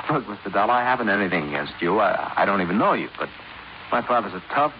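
A man's voice: lowish voice, lively intonation, a little fast, lightly acted. An actor playing a spoiled, sardonic son in his twenties, glib and mocking, in 1950s radio drama style. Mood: gleefully mocking. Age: 20s. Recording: noisy muffled 1950s radio recording.

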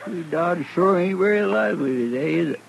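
An actor playing a folksy, hard-up frontier sidekick with a rural Western drawl in an old-time radio western. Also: male voice, high voice, fast talker, creaky, highs cut off. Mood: easygoing, grumbling. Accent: rural Western American drawl. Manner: folksy, rambling, colloquial. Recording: noisy old radio drama recording.

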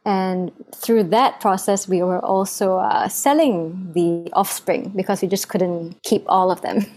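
A woman's voice: medium pitch, fairly even intonation, measured pace, a little mellow. A young adult woman from Singapore, a podcast guest telling her story in a chatty way with frequent laughs. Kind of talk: casual storytelling interview.

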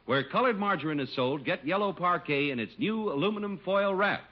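A male announcer from 1950s radio delivers scripted copy with polished emphasis. His voice is fairly high, slightly husky and very lively. The recording is old and dull. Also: fairly quick.

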